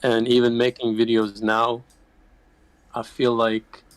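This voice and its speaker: A man's voice: mid-range voice, completely flat delivery, slow pace, clean tone, dry recording. A man who is a guest content creator, chatting in a laid-back, casual way with easy slang. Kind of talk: casual podcast chat.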